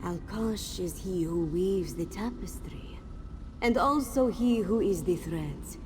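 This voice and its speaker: A woman's voice: on the low side, slow, noisy background. A woman playing a grave, mystical cat-woman warrior sage in a fantasy video game, speaking solemnly and cryptically. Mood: cryptic, reflective. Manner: solemn, cryptic, speaks of self in third person.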